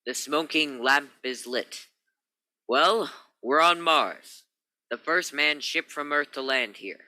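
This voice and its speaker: A stern spaceship captain acted by a man in an old-time radio play. His voice is very bright and forceful, with clipped, authoritative delivery, recorded close and dry. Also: medium pitch, no echo, poor audio. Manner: clipped, authoritative orders and solemn announcements. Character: stern, commanding male captain of a naval spaceship.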